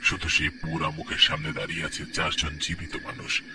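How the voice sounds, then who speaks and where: A man narrating a spooky cartoon story in a dramatic way that drops to a hush for suspense. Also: a bass voice, monotone, a little fast. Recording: distant mic with noisy background.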